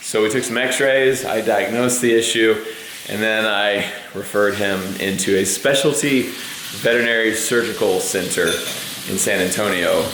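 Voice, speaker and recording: A young adult man with a slightly hoarse voice, chatting casually as a veterinarian vlogger in an easygoing, rambling way. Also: on the low side, reverberant, noisy background. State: a little hoarse. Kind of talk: casual vlog chat.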